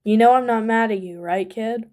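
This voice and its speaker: A woman playing a weary male teacher who talks gently but firmly. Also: a little high, moderate pace, natural voice. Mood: gentle, concerned.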